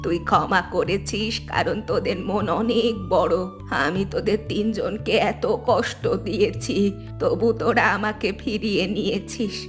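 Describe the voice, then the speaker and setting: A woman plays an elderly cartoon mother-in-law, humbled and full of regret. She speaks in an earnest, confessional way at a middling pitch, with soft music underneath. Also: measured pace, natural voice. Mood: remorseful. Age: older. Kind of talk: Bengali cartoon family drama dialogue.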